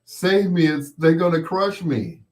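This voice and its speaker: A frightened little computer , acted out by a man, begs to be saved in a comic story. The voice is pushed up high, urgent and repetitive in its pleading. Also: expressive, measured pace, darkish tone. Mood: desperate pleading.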